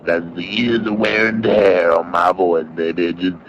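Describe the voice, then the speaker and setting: A gruff old blues singer, very deep and slow, full of boastful swagger. His throaty drawl sits over plucked guitar in a muffled lo-fi recording. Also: level tone, a little hiss or hum. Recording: dull lo-fi sound, music behind.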